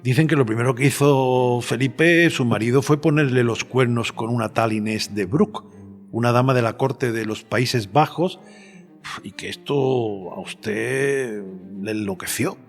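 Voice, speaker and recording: A man's voice: medium pitch, unhurried, faint music behind, lightly acted. A man playing a polite but provocative journalist in a dramatised historical interview, hesitant as he probes. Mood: probing. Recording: long hall reverb.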